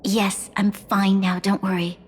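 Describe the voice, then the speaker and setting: A woman playing a noble, earnest young leader in a video game, speaking in a formal, measured and solemn way. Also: medium pitch, flat delivery, a little hiss or hum, close to an everyday voice. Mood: relieved, sincere. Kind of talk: dramatic video game acting, oratory.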